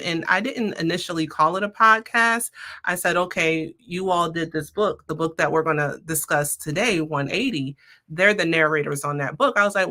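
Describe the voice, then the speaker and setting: Woman guest on a podcast, deep voice but bright and clear-cut, explaining stuff casually like telling a story. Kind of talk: casual conversational interview answers.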